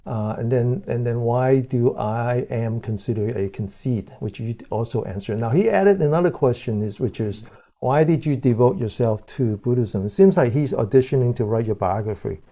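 Mellow older man hosting a video call. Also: medium pitch, moderate pace. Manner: plain, relaxed moderator reading questions aloud. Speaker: meditation group host. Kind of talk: reading out audience questions. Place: room with dark curtains.

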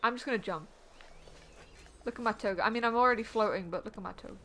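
A woman's voice: on the high side, fast talker, over a noisy crowd. A woman with a Yorkshire accent chatting casually with friends while playing a game, anxious and self-mocking. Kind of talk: casual gaming chat. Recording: game music behind the voice.

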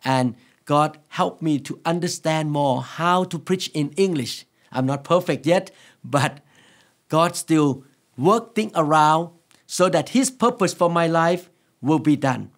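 A man in his sixties from Thailand with a Thai accent, preaching to camera as a pastor in an earnest, emphatic, deliberate way. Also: a little high, animated. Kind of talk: sermon to camera. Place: quiet indoor studio set. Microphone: lapel microphone.

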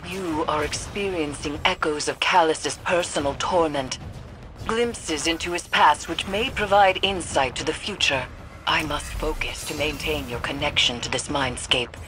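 A woman voicing a grave, mysterious mystic guide in a video game, solemn, measured and cryptic. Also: sits low. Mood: grave, focused. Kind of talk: scripted video game acting. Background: game music.

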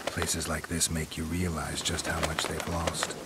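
A world-weary monster hunter in a video game. The voice is extremely deep and gruff, delivered in a terse deadpan. Rain and ambient noise run clearly underneath. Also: male voice, unhurried. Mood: dry, brooding. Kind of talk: video game dramatic dialogue.

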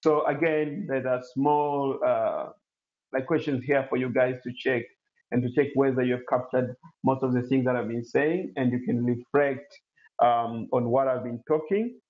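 A man from Tanzania whose first language is Swahili, giving an online presentation and answering questions, halting with many fillers and restarts. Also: mid-range voice, fairly even intonation. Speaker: webinar presenter, incubator CEO. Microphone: laptop mic on a video call. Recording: roomy, dull webinar audio.

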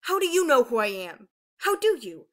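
A woman's voice: medium pitch, lively intonation, a little fast, bright, forceful tone. A woman voicing an anguished young female ice dragon in a fantasy story, impassioned and dramatic. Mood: desperate, tormented.

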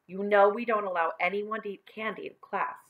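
A woman voicing a stern but concerned female schoolteacher in a children's storybook, speaking in a firm, measured way. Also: medium pitch, fairly even intonation, narrow, tinny audio, natural voice. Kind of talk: children's storybook read-aloud.

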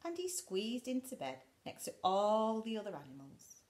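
A woman in her forties reads a picture book aloud to young children in a dramatic way, often dropping to a hush. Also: medium pitch, big pitch swings, normal speed. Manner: dramatic, often drops to a hushed whisper. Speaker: school storyteller. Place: living room indoors by a window.

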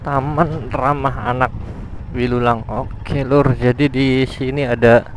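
A man giving relaxed, casual running commentary to viewers as he rides a motorcycle through village lanes. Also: mid-range voice, slow, a little hiss or hum. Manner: relaxed, addresses viewers as friends, thinks aloud. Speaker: motovlogger. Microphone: helmet or body-mounted action camera.

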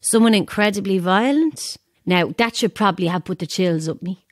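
An actress with an Irish accent playing a brisk, no-nonsense, plain-spoken theatre worker in a naturalistic audio drama. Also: female voice, mid-range voice, no room sound, studio quality, lightly acted. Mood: grim, matter-of-fact.

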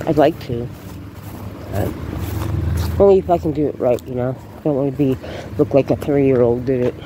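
A woman's voice: rumbling low, moderate pace. A woman with a congested cold chatting casually while walking outdoors, rambling and laughing as she tells stories. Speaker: beauty vlogger. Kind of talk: casual walking vlog chat. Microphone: handheld phone camera. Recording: noisy outdoor phone recording.

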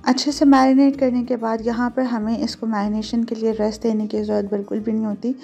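A woman's voice: on the high side, little pitch movement, fairly quick, gravelly, dark tone, husky, faint music behind. A woman giving conversational recipe instructions as a home cooking host, mixing English words and phrases into her speech.

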